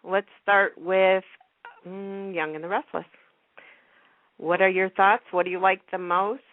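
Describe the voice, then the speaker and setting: A woman's voice: medium pitch, steady tone, unhurried, no echo. Woman host on a muffled phone line. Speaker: radio talk show host. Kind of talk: casual chat about TV soaps.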